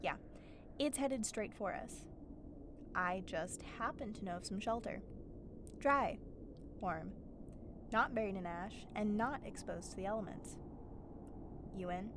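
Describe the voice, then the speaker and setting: Actress as female wasteland survivor, medium pitch, sarcastic, impatient, blunt but helpful, audio roleplay, clearly noisy windswept backdrop. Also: measured pace, pauses often, lightly acted. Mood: urgent, wry. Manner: wry, teasing, exasperated, talking straight to the listener. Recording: steady ambient noise behind the voice. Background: howling wind sound effects.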